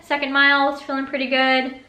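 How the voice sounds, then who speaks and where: A young woman vlogger telling a casual story in a chatty, animated way, often acting out quotes. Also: high-pitched, brisk, noisy background. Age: young adult. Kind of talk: casual story time vlog. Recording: roomy echo.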